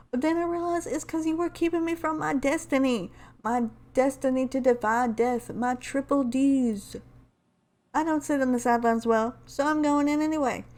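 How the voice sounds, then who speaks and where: A woman's voice: fairly high pitch, darkish tone, close to an everyday voice. A woman voicing a reckless, boastful young male daredevil from a game story in an earnest, dramatic way.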